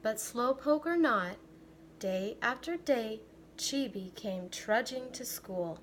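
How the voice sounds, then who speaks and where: An American woman reads a children's story aloud in a fairly high voice. Her delivery is very slow and deliberate, with expressive phrasing, like a teacher reading to a class. There is some light noise in the background.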